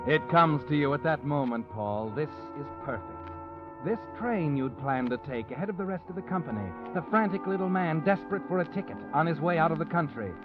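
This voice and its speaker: A man's voice: fairly high pitch, lively intonation, a little fast. A man narrating an old radio thriller in the second person, ominous and insinuating. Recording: dull old radio recording with music.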